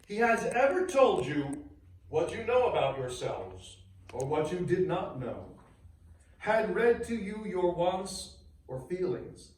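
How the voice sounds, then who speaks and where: An actor playing a 19th-century churchman bidding friends a sorrowful, earnest farewell, in a solemn, deliberate theatrical reading. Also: high-pitched, exaggerated voice. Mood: tender, earnest. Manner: solemn, deliberate, emotive, oratorical with weighted pauses. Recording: very echoey space, distant microphone.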